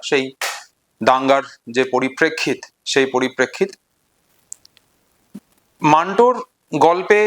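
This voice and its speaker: A man giving an informal literary lecture and retelling stories, in deliberate, measured phrases broken into short segments. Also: fairly high pitch, fairly bright tone. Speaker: literature explainer and presenter.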